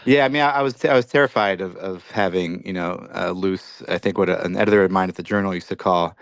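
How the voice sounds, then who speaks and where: A man's voice: deep voice, quick pace, gravelly, no room sound. A man who grew up in Belgium, speaking as an author guest in a podcast interview, reflective and full of restarts.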